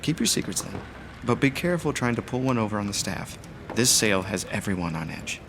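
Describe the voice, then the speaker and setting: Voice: mid-range voice, very animated, brisk, background noise. An actor playing a guarded, blunt manor servant with a dry, clipped, reproachful manner in an audio drama. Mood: cool, reproachful.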